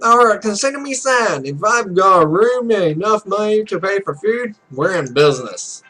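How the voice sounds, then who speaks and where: A man acts out a young male pro gamer in a visual novel game, cocky and grumpy. The voice is high, the talk blunt and brash, with music behind it. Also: expressive, normal speed, pushed and bright, heavily acted. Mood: eager.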